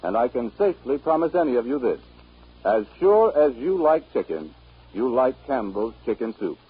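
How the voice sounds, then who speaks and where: A man working as a radio announcer, reading a 1930s sponsor message with emphatic, deliberate salesman's phrasing. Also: medium pitch, big pitch swings, slightly rough. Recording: tinny 1939 radio recording.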